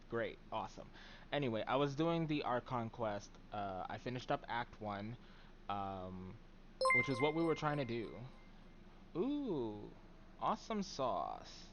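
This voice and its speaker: Low soft-voiced guy chatting on his game stream, mumbly and chill, noisy background. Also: lo-fi sound. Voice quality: very soft voice that does not carry. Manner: soft-spoken, mild, trailing off with fillers.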